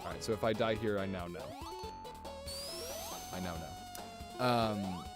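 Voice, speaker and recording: A man streaming a video game, chatting casually with viewers in an excitable, rambling way and swearing often. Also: lowish voice, takes it slowly. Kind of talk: casual gameplay commentary. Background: music.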